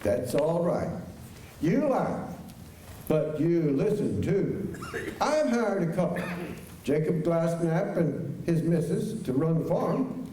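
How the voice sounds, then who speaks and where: A man playing an anxious, earnest farmer in a radio drama, halting and pleading, with old-fashioned immigrant phrasing. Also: fairly high pitch, very animated, dark tone. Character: anxious, earnest farmer courting his former housekeeper. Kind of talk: radio drama acting before a live audience. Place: large school auditorium stage. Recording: echoey auditorium, distant mic, faint noise.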